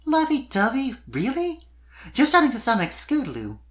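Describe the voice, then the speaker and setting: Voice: high voice, huge pitch swings, normal speed, a bit bright, pitched above the performer's own voice, exaggerated voice. A performer voicing an exasperated young female pony pop singer from a storybook, wry and wistful, with dramatic, emphatic, teasing delivery.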